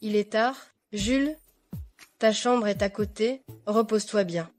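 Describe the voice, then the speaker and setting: An actress dubbing a practical, matter-of-fact young bride. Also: female voice, medium pitch, unhurried, soft background music, natural voice. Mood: flustered.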